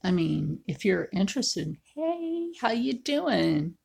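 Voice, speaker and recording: A woman in her forties chatting casually to viewers while she draws, often sinking into a low whisper. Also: sing-song, creaky, darkish tone, breathy edge. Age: 40s. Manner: slow, frequently drops into a whisper. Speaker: video creator. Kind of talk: casual livestream chat while drawing.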